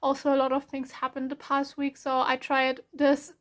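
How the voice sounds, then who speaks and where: A young adult woman with a German accent, a non-native English speaker, giving a casual tarot reading in a soft, rambling way with many fillers and self-corrections. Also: sits high, monotone, moderate pace. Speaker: tarot reader.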